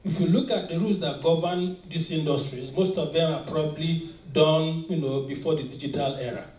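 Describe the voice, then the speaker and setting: A man's voice: on the high side, brisk, darkish tone. A Nigerian man speaking earnestly on a panel, often restarting his sentences. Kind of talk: panel discussion. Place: large conference hall. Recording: echoey conference hall from far off. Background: faint ambience.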